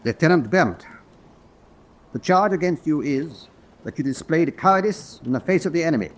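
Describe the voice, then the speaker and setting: An actor playing a stern senior officer presiding over a military tribunal, speaking formally and with deliberate command. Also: male voice, on the high side, animated. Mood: stern, grave. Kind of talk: dramatic radio acting.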